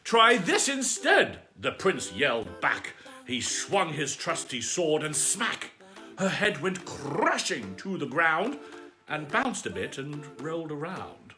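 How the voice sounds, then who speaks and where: Guy reading kids bedtime story, really animated and playful, slow dramatic pauses, faint music. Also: a little high. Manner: dramatic, playful, drawn-out phrasing. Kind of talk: theatrical children's story narration.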